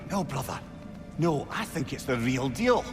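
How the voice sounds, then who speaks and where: An actor playing a witty, loyal advisor in a video game, with a Scottish accent and a wordy, wry, teasing manner. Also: male voice, medium pitch, sing-song, unhurried, music in the background. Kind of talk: dramatic video game acting.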